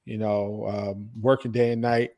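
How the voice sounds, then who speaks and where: A man's voice: fairly low pitch, level tone, measured pace, warm tone. A man co-hosting a podcast in casual, slangy chat, with some swearing. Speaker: podcast co-host.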